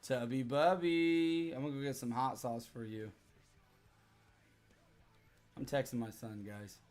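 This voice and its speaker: A man in his thirties with a hoarse voice, chatting casually and profanely with his livestream audience. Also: medium pitch, fairly even intonation, a little slow, darkish tone, soft background music. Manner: rambling, profane, joking with chat.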